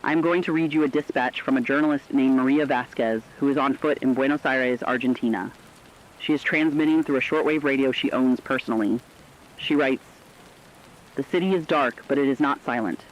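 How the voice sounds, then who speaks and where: Muffled radio sound, guy acting as a solemn presenter reading dispatches, mid-pitched, earnest and reflective. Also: brisk, natural voice. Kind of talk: scripted dramatic radio narration. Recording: dull band-limited radio sound.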